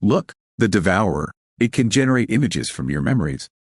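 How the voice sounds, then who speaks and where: Man voicing unruffled veteran station crewman, low. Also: big pitch swings, a little fast, slightly rough, no echo, clean studio sound. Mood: explaining.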